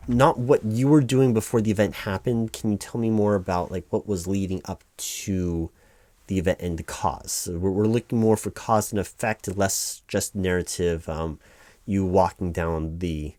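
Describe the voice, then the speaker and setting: A man's voice: low voice, mellow, no echo, lightly acted. A man playing a flustered, apologetic office clerk in a one-sided ASMR roleplay, hesitant and rambling as he corrects himself. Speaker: claims paperwork interviewer.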